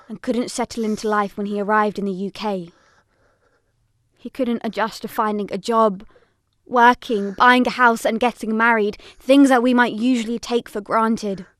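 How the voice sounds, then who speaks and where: A woman's voice: medium pitch, dry recording, clean studio sound, close to an everyday voice. A woman playing a grave narrator of tragic survivor stories in a scripted audio drama, sober and serious.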